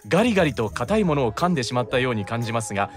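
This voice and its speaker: A man with a Kansai accent talks as a podcast guest over faint music. His intonation is lively, moving easily between casual banter and reading out messages. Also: mid-range voice, racing.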